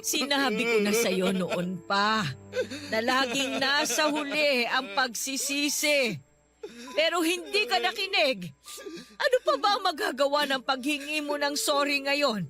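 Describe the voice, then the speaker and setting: A woman's voice: high voice, lively intonation, measured pace, music in the background. A woman playing a stern, hurt older nanny in a Filipino radio drama, emotional and reproachful as she preaches to her grown ward. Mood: angry, reproachful.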